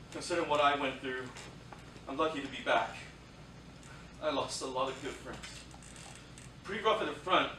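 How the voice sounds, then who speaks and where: Man acting a bright-voiced wounded soldier. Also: a little high, natural voice. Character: modest wounded young soldier, guilty, writing from hospital. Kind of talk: staged play reading, letter monologue. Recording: echoey theatre, distant mic, faint noise.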